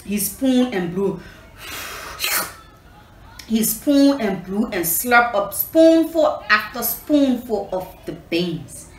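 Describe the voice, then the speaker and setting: A woman reads a picture book to children at a slow pace with lots of melodic movement. She breaks off to explain and ask questions. The sound is roomy and slightly distant, with faint noise. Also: fairly high pitch. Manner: expressive, explanatory, addresses listeners with questions. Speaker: children's storyteller. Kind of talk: storybook read aloud with asides to children. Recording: echoey room, distant mic, faint noise.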